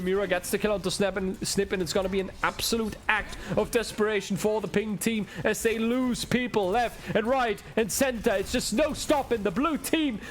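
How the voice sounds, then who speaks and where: German-accented young male caster, slightly higher voice, energetic solo play-by-play that ramps up with the action. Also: a little hiss or hum. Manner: excitable, ramps up with the action, chats with viewers. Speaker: esports caster.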